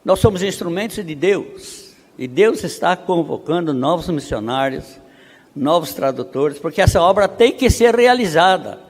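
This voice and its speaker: A man from Brazil speaking at a missionary conference, reading statistics slowly and building to emphatic rhetorical questions. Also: on the high side, animated. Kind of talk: conference preaching. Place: seminary auditorium. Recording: long hall reverb.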